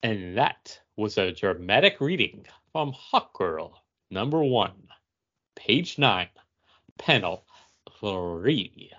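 A man's voice: on the low side, sing-song, takes it slowly, fairly bright tone, muffled recording, voice pushed down, full character voice. A man voicing a snobby, classy English presenter who grandly and formally announces a dramatic reading. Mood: grand, pompous. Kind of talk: mock-highbrow dramatic reading introduction for a podcast.

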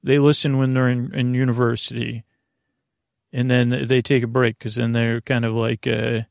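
A man hosting a bedtime podcast in a creaky voice, meandering and repetitive, halting and wandering off on tangents. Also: medium pitch, flat delivery, darkish tone, no echo, clean studio sound. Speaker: podcast host. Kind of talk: rambling bedtime podcast monologue.

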